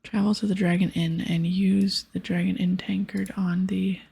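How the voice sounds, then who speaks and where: Breathy, whispering ASMR woman. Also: mid-range voice, flat delivery, normal speed, dark tone. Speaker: ASMR gaming host. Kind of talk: ASMR game playthrough, reading dialogue aloud.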